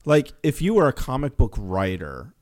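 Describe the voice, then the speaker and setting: A man's voice: mid-range voice, sing-song, moderate pace. Casual, opinionated man on a podcast. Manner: informal, argues through imagined dialogue. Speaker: podcast co-host.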